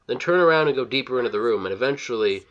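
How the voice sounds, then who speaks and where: A man's voice: medium pitch, animated, rapid-fire, fairly bright tone, soft background music. A man giving casual, scripted video game walkthrough commentary in a blunt, wry manner, on a slightly dull recording.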